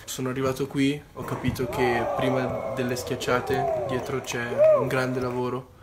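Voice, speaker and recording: A man's voice: medium pitch, sing-song, normal speed, very noisy. A man speaking as a camp participant in a testimonial interview.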